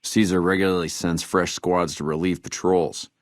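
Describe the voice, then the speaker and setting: An actor playing a weary, dutiful army trooper in a video game, speaking in a measured, earnest soldierly way. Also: male voice, low voice, flat delivery, no echo, clean studio sound, close to an everyday voice. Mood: grave, businesslike. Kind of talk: video game dialogue acting.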